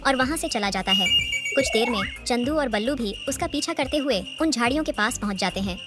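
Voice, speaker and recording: A woman tells a scripted children's tale in a fairly high voice. Loud music runs behind her narration. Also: animated, fairly quick. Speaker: storyteller.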